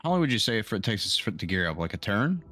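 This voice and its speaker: A man's voice: on the low side, very animated, fast talker, a bit bright, faint music behind. A man playing a tabletop roleplaying game, stating his moves decisively in casual talk.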